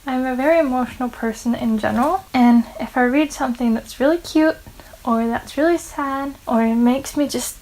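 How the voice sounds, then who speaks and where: A woman's voice: high voice, moderate pace, a little mellow, poor audio. A Norwegian woman of twenty-one, whose first language is Norwegian, chatting earnestly to camera in English about her reading habits. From Norway. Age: 20s. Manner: chatty, earnest, lists personal facts. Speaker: book vlogger. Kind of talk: casual chat to camera.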